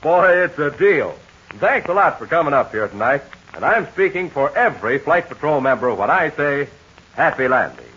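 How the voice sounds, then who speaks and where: A man's voice: fairly high pitch, very animated, moderate pace, sharp tone, slightly rough. A man announcing and narrating a radio serial in an upbeat scripted manner, on a dull vintage recording.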